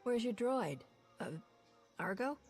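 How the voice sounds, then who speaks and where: A cocky smuggler captain. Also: female voice, on the high side, animated, slow pace, soft background music. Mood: wry. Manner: wry, self-assured banter. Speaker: player character.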